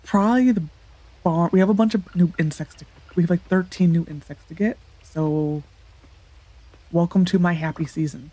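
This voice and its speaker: A woman's voice: lowish voice, normal speed, dark tone, dry recording, low-quality recording. Congested woman rambling over gameplay. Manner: rambling, thinking aloud with many fillers. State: congested, nasal from allergies. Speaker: let's play gamer. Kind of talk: casual gameplay commentary.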